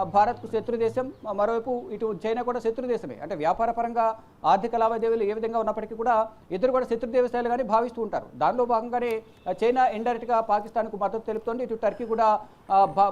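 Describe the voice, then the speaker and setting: A woman presenting unscripted news commentary, repeating phrases and pausing on fillers as she goes. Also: a little high, a little mellow, dull audio.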